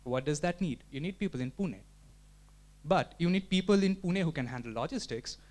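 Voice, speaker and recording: A man's voice: a little high, animated, moderate pace, darkish tone. A man giving an explanatory talk at a community meetup, thinking aloud with frequent restarts and filler words. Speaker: community presenter. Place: meetup room.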